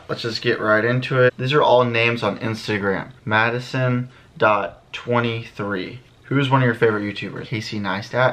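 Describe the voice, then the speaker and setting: A man's voice: on the low side, a bit bright. An American man in his early twenties talking casually to camera in a laid-back, slangy way, answering questions for a vlog. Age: early 20s. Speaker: vlogger, channel host. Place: small bedroom indoors. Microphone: camera a few feet away.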